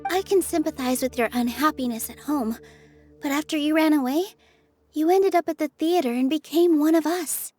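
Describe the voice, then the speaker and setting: A woman's voice: high voice, moderate pace, a little mellow, lightly acted. An actress playing a kind young female stage performer in a video game, speaking with soothing, sincere sympathy over music. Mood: encouraging. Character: gentle, encouraging young female theater performer. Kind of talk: scripted video game voice acting. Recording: music behind the voice.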